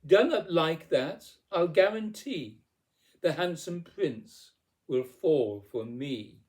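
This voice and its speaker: A man voicing a bossy, jealous young Cinderella in a storybook poem, loud, demanding and exclamatory. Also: mid-range voice, expressive, takes it slowly. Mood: demanding, exasperated.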